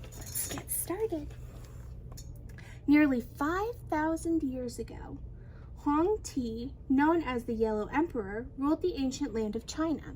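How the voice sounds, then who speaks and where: A woman reading a children's storybook aloud in an expressive, animated storytelling manner. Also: sits high, measured pace, a little hiss or hum, low-quality recording. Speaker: teacher reading a picture book aloud.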